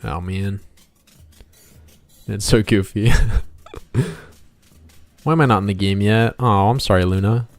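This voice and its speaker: A man's voice: fairly low pitch, slow pace, long pauses, dry recording, soft background music. A man hosting a casual game developer livestream, rambling playfully, talking to himself and to viewers as he works. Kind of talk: casual livestream chat while drawing, reading out viewer comments.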